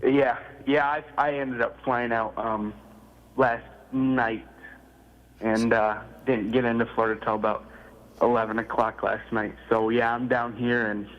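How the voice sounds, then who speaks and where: A man calling in to a radio show, chatty and laughing often, his voice a little gravelly today. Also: mid-range voice, little pitch movement, unhurried, lo-fi sound. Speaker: radio guest, impressionist. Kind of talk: casual phone chat. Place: remote call from Florida.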